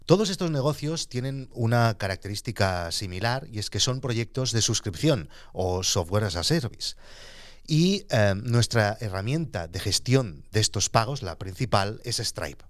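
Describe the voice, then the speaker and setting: A man in his forties, hosting a podcast, calmly and methodically explaining how his business handles money. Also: lowish voice, measured pace, dry recording, clean studio sound. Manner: calm, methodical, explains terms as he goes. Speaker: podcast host and entrepreneur. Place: small studio in front of a blue backdrop. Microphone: studio microphone on a boom arm.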